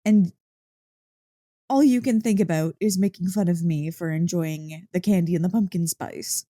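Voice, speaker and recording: A woman playing an excitable, playful dog-girl girlfriend in an ASMR roleplay, gushing and teasing with mock indignation. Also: on the low side, moderate pace, dry recording, lightly acted. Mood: mock offended. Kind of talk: ASMR roleplay, one-sided conversation.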